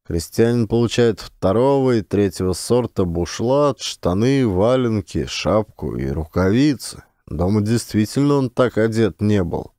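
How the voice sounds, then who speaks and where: Male audiobook narrator, measured and ironic, low mellow voice, dry close sound. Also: animated, no echo.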